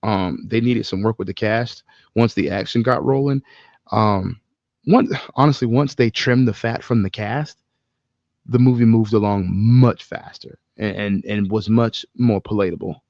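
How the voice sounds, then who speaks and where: Low, gravelly man chatting casually. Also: measured pace, darkish tone, no echo, muffled recording. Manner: frank, profanity-laced, informal slang. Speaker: podcast co-host. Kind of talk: casual movie discussion chat.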